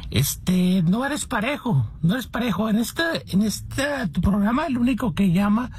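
High-voiced man, teasing and complaining. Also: expressive, measured pace, darkish tone, slight background noise. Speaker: radio listener sending a voice message. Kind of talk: mock-indignant casual complaint.

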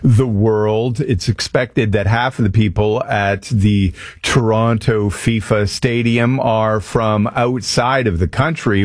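A man's voice: mid-range voice, no room sound. A man hosts a live radio news talk show from the studio, his voice sitting in a middle register. He talks in a loose, chatty way. The sound is dry and close, with no room around him. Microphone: studio mic.

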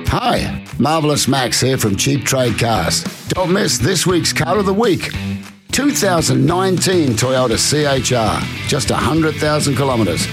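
A man with an Australian accent doing a loud, showman-style radio ad pitch for a car dealer. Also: big pitch swings, a little slow. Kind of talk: radio ad read. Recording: ad read over guitar music.

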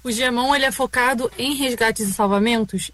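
A woman's voice: fairly high pitch, brisk, a bit bright. A woman, hosting a radio programme and introducing guests and projects. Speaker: radio show host.

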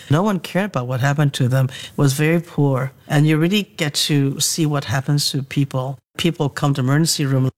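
Older woman telling memories with soft laughs. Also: low-pitched. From Hong Kong. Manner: thoughtful, laughs often while recounting memories. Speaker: interview guest, doctor. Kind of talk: reflective conversational storytelling.

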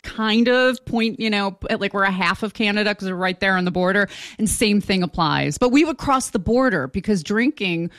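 A woman's voice: medium pitch, normal speed, few pauses, fairly bright tone, no echo, studio quality. A woman from Buffalo, New York, hosting an interview, chatty and laughing with lots of "you know" fillers. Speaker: interview host. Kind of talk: casual interview chat.

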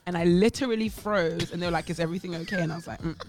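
A woman's voice: lowish voice, very fast, noisy background. A woman with a Bahamian accent co-hosting a show, chatting casually and telling stories with playful drama. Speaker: co-host. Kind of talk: casual chat. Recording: faint music behind.

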